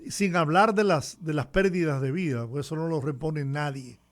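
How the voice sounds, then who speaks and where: A male radio host conducting an interview, his voice a touch high for a man. He puts his questions and thanks his guest in a formal, polite way. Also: normal speed.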